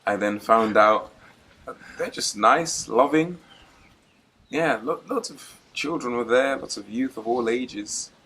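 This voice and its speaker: A young man from Zimbabwe, guest at a church interview, telling his story in a relaxed, reflective way and laughing easily. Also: medium pitch, takes it slowly, husky. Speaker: guest preacher.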